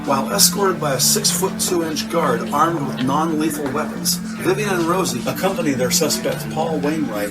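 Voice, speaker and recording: A man narrates an audio drama in a fairly high voice. His delivery is scripted, dramatic storytelling, laid over loud music. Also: low-quality recording.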